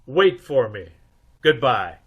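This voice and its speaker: Guy playing a wisecracking radio detective, clipped and wry, medium pitch. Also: very animated, takes it slowly, heavy background noise. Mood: guarded. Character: brisk, wisecracking male private detective. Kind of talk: old-time radio detective acting.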